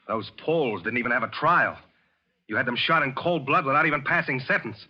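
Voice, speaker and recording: An actor in a 1940s radio thriller playing a hard-boiled, relentless avenger of war crime victims, commanding and clipped. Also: male voice, medium pitch, fairly quick, a little hiss or hum, natural voice. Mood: stern, condemning. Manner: commanding, clipped, grimly insistent. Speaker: protagonist in a radio play. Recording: dull, lo-fi vintage radio recording.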